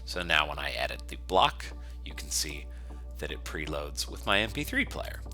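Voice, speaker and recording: Deep, very bright-voiced man giving a tutorial. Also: sing-song, normal speed, faint music behind. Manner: conversational, explaining as he works. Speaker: software developer giving a coding tutorial. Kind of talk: informal step-by-step screencast tutorial.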